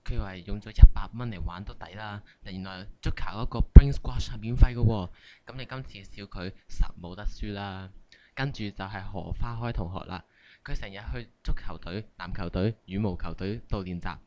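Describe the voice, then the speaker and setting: A man's voice: on the low side, moderate pace, dark tone, muffled recording. A man with a Hong Kong Cantonese accent hosting a comic radio skit in playful scripted narration. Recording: amateur recording with clear background noise.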